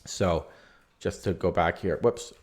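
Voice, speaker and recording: A man's voice: low voice, slow pace. A man, losing his voice, hesitantly and candidly talking through a chess game he played, reading from his notes. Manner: hesitant, many restarts, relaxed and candid. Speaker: chess channel host reviewing his own game. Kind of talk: casual game analysis from notes.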